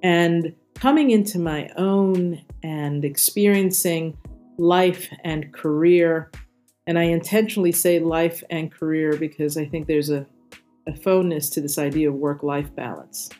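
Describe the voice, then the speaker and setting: A middle-aged American woman from New York City, talking reflectively as an interview guest in measured, articulate and deliberate phrases. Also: deep voice, steady tone, warm tone, faint music behind. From New York City, United States. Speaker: interview guest, corporate diversity executive. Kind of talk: reflective personal talk in an interview.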